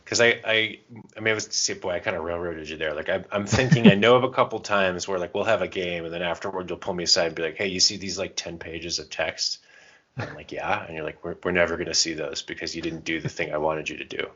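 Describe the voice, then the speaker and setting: A man's voice: low-pitched, fairly even intonation, fast talker, a bit bright. A man co-hosting a podcast, chatting casually and informally as he shares advice and anecdotes. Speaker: podcast co-host. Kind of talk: casual chat giving gaming advice. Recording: dull, muffled lo-fi podcast audio.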